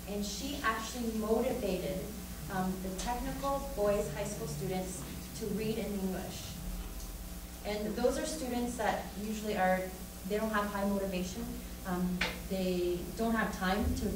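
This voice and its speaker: A woman presenting at a teaching conference talks through her classroom methods in a casual, encouraging tone. Her voice carries from a distance across a very reverberant lecture hall. Steady background noise sits underneath. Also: medium pitch. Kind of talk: answering audience questions.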